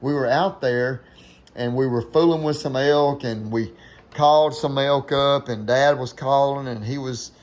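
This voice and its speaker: A man with a Southern US accent chats and tells stories in a loose, folksy style. His pitch is average, and he often breaks into his own sentences. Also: steady tone, measured pace, darkish tone. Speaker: guest, hunter telling stories with his father. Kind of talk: casual storytelling chat.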